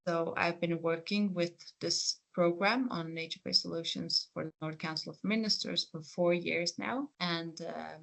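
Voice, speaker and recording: A speaker from the Faroe Islands whose first language is Faroese, with a Faroese accent, answering career interview questions in an earnest, reflective, gently encouraging way. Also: mid-range voice, vocal fry, poor audio. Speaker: programme coordinator and PhD researcher.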